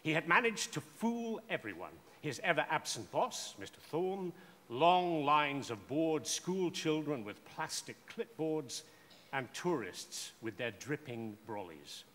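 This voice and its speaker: A man narrating a scripted short story in a measured, theatrical way. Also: a little high, very animated, fairly bright tone. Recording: echoey hall with faint noise.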